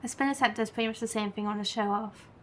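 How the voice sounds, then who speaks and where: A woman's voice: a little high, steady tone, fast, slight background noise. A woman game streamer giving casual, chatty, giggly commentary as she plays. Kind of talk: casual live gameplay commentary. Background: game music.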